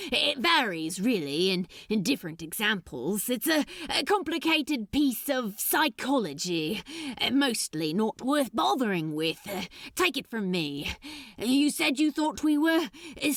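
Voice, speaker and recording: An awkward male demon, played by a woman narrator, nervous and stammering in an audiobook tale. The delivery rambles and halts, with lively swings of pitch in a dry, close recording. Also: no room sound, full character voice. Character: awkward flustered man, nervous demon babbling to his friend. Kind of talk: fan fiction audiobook dialogue.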